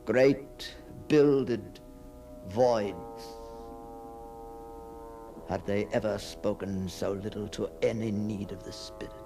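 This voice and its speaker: An actor playing a haunted, obsessive gentleman telling his own ghost story as a theatrical, halting radio monologue that often sinks toward breathy whispers. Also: fairly low pitch, steady tone, soft background music. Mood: brooding, desolate.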